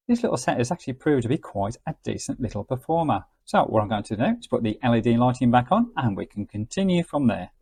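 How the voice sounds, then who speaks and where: A man's voice: medium pitch, a little mellow, husky, no room sound. A man presenting a product review as a narrated demonstration. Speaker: reviewer and presenter of gadget videos.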